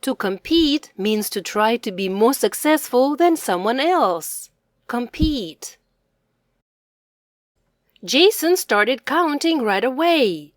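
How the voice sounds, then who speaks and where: A woman narrating a children's storybook expressively, addressing young listeners in a deliberate manner. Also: fairly high pitch, big pitch swings, no room sound, clean studio sound. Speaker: storybook reader.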